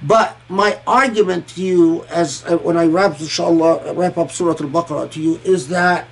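A man from Egypt and Kuwait giving an informal scholarly commentary on scripture, thoughtful and halting, often repeating words and pausing. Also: on the high side, steady tone, creaky.

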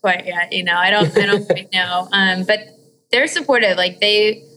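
A woman's voice: mid-range voice, fairly even intonation, moderate pace, sharp tone, slight background noise, poor audio. A young woman of twenty, a singer, chatting casually and filling her speech with "like". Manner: chatty, lots of "like". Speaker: guest singer. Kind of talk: casual conversation.

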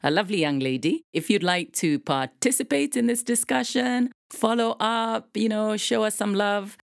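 A woman hosting a conversational interview in an encouraging, measured way. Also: medium pitch, animated, gravelly, dry recording, studio quality. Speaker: podcast host and interviewer.

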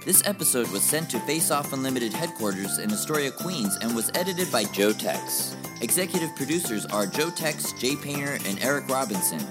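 Credits announcer, medium pitch, scripted read set over very prominent music. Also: lively intonation, fairly quick, few pauses. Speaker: announcer reading show credits. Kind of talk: scripted narration. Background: loud music.

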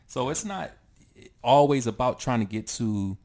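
A man in his thirties chatting casually and haltingly, often repeating his phrases as he goes. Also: mid-range voice, lively intonation, crowd noise. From United States. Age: 30s. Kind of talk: casual chat.

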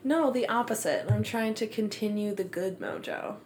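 Woman co-host, mid-pitched, soft and muffled delivery, soothing tone, faint hiss. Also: moderate pace. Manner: soft-spoken, reassuring, trails into murmurs. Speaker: podcast co-host. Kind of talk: casual chat.

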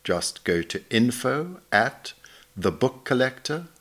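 A man reading a literary essay aloud as scripted narration, in a measured, articulate, bookish manner. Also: mid-range voice, very animated, fairly bright tone. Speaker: reader of a magazine essay.

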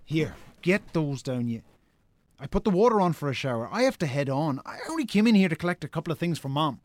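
A man plays a brisk, impatient Irish brother in a radio drama. His voice is fairly high and very animated as he scolds. The sound is dry, with no room on it. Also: no echo. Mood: exasperated, scolding. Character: exasperated, brisk brother of a drunken farmer. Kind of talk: naturalistic radio drama acting.

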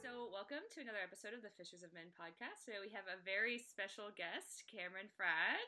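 Young woman cohost, really bright voice, lively, laughs a lot. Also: medium pitch, quick pace, soft background music. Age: late 20s to 30s. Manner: laughs often, upbeat interviewer. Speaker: podcast co-host. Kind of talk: casual chat.

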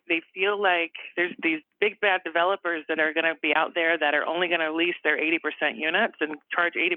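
A man's voice: a little high, no echo. A man giving informal, emphatic interview remarks in colloquial words over a tinny phone line. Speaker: housing developer interviewee.

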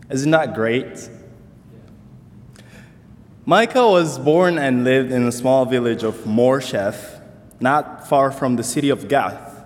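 Slow, earnest man from Congo. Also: mid-range voice. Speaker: church intern speaker. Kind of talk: slow church talk on scripture. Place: church sanctuary. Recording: long hall reverb.

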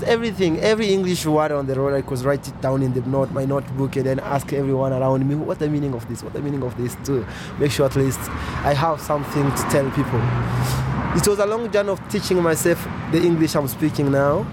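Earnest young man, traffic behind. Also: a little high. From Congo. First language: French. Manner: slow, earnest, searching for words. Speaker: interview guest. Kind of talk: personal testimony.

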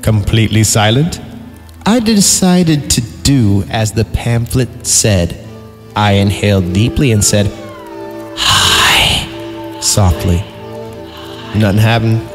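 Male podcast host, lowish voice, tongue-in-cheek creepy-story reader, sarcastic and informal, heavy reverb with music underneath. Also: lively intonation, takes it slowly, long pauses. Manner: sarcastic, breaking off from narration into giggly asides. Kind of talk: mocking dramatic story reading with comic asides. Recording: reverberant voice over background music.

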